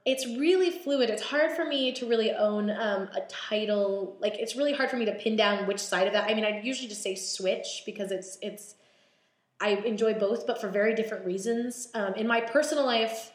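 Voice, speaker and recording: A young woman guest on a podcast who talks candidly and chattily, often restarting her sentences and filling pauses. Her voice sits at an ordinary pitch. It carries a noticeable echo from the room. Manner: chatty, halting, many fillers and restarts. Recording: roomy echo.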